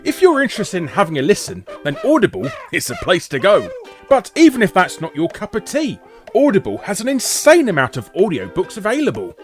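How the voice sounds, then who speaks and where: A male host reads a scripted comedy countdown about games. His fairly high voice swoops dramatically with jokey, theatrical emphasis. Faint music plays underneath. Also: huge pitch swings, brisk. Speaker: host of a video game list show. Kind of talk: scripted comic narration. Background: light background music.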